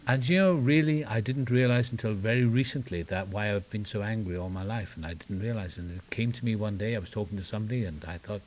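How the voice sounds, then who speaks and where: A man's voice: lowish voice, quick pace, few pauses, a little mellow, a little hiss or hum, low-quality recording. An older man with a Welsh accent speaking at a recovery meeting, with wry self-deprecating humor and rambling asides. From Wales. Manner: rambling with restarts and trailing asides. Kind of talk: personal testimony talk. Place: meeting room.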